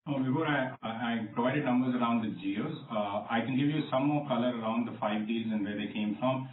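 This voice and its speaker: A man speaking as a company executive on an earnings call, formal and measured, answering analysts with confidence. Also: medium pitch. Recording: roomy, distant, tinny phone-band conference line.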